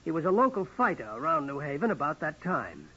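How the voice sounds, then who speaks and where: Husky, hesitant radio-drama witness. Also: male voice, on the high side, very animated, a little fast, bright, forceful tone, heavily acted. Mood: earnest. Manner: hesitant, stammering, courteous. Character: polite, hesitant male witness. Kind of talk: 1940s radio drama acting. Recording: dull lo-fi old radio recording.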